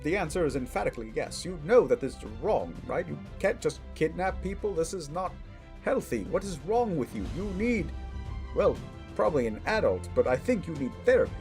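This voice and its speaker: Guy doing a superhero for a comedy audio roleplay, kinda high raspy voice, bouncy intonation, cocky but well meaning, rambles casually. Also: sing-song, a little fast, a little mellow, faint music behind. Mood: earnest scolding. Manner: heroic bluster sliding into casual, earnest rambling. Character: famous male superhero, self-assured, chatty, well-meaning but blundering.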